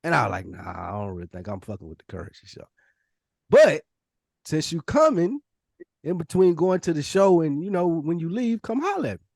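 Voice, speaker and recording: A man's voice: a little high, big pitch swings, fairly quick, creaky, darkish tone, dry recording, clean studio sound. A man with a Southern US accent hosting a casual podcast, telling stories in slangy, conversational language. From Nashville, United States. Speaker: podcast host.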